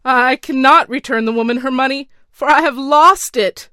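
Lady doing a shaky scared counselor guy, pitched up, dry sound. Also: moderate pace, fairly bright tone, dry recording, natural voice. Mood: trembling. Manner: shaking, pleading voice. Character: timid frightened male royal counselor, guilty.

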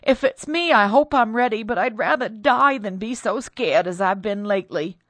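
Scared superstitious woman wailing high and shaky, a woman reader doing her, dry. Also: moderate pace, fairly bright tone, no echo. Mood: frightened.

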